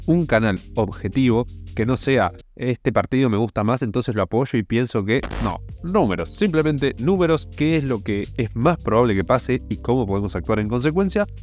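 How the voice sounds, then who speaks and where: A man with an Argentine accent, hoarse from a sore throat, walking through investment figures step by step as host of a finance channel. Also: fairly low pitch, a little mellow. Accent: Argentine Spanish. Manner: plainspoken, walks through numbers step by step. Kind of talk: explaining investments over spreadsheets.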